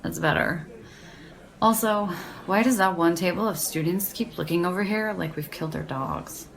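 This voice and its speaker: A sardonic young woman with a low voice that crackles with fry. She talks in dry, slangy banter, teasing and unimpressed, as in an audio drama. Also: moderate pace. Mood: deadpan needling. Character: deadpan, sardonic young woman who loves horror paperbacks.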